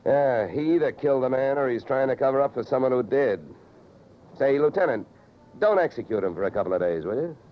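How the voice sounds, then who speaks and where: An actor playing a wry, hard-boiled insurance investigator in old radio drama, dry and wisecracking. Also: male voice, mid-range voice, expressive, fairly quick, a little hiss or hum, natural voice. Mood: dry, questioning. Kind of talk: 1940s-50s radio detective narration. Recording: muffled vintage radio recording.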